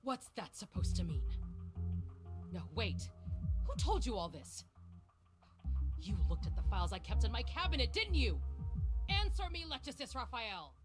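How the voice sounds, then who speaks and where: A woman's voice: a little high, big pitch swings, moderate pace, long pauses. A woman voicing a cold, arrogant villainous scientist in a video game, with a condescending, menacing, theatrical delivery. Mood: angry, demanding. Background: game music.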